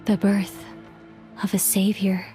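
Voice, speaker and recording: A woman voices an ominous female harbinger of doom in a video game. She speaks extremely slowly and solemnly, with a breathy delivery over faint music. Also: medium pitch, completely flat delivery, lightly acted. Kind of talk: dramatic video game voice acting.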